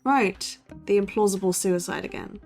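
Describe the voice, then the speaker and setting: An actress playing a skeptical, dry-witted college student co-hosting a true-crime podcast in an audio drama. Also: female voice, mid-range voice, moderate pace, a little mellow, soft background music, close to an everyday voice. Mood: dry teasing. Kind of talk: conversational audio drama acting as podcast co-host.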